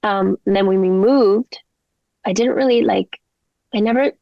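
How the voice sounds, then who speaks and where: A woman's voice: medium pitch, measured pace, creaky, dry recording, dull audio. A young woman with a mix of Canadian and English accent, a singer chatting as a guest in a rambling, casual way. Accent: mixed Canadian and English. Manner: chatty, rambling, lots of likes and ums. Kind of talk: casual interview chat.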